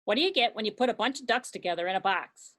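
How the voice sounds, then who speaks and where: A woman voicing a rude, joke-telling mad hatter in a puppet show, quick and teasing. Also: medium pitch, lively intonation, a bit bright, natural voice.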